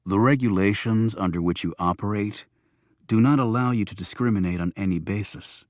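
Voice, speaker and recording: A man's voice: fairly low pitch, a little fast, a little mellow, dry recording, clean studio sound, close to an everyday voice. A man voicing a dignified, down-on-his-luck man in an audiobook, speaking formally and precisely. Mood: firm.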